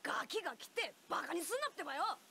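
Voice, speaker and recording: Casting: a cheeky young boy ninja, lively and rough-talking. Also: very high-pitched, quick pace, a little hiss or hum, exaggerated voice. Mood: defiant. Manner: rough boyish slang with a verbal tic. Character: brash loud boy ninja, cheeky and stubborn. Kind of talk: game cutscene voice acting.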